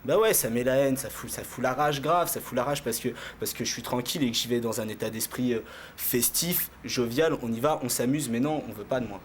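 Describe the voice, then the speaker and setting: A man's voice: medium pitch, brisk. A man giving casual, slangy answers in an interview, hesitating and repeating his phrases. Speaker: street interviewee. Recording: faint background noise.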